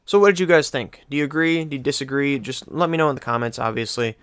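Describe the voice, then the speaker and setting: A man's voice: medium pitch, quick pace, a bit bright, clear, resonant tone, dry recording. A man hosting a countdown video, giving casual, enthusiastic commentary with repeated emphasis. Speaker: video host.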